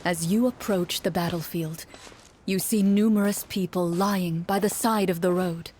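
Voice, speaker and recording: A woman's voice: lowish voice, steady tone, measured pace, noisy background. A woman giving scripted second-person storytelling narration for a video game.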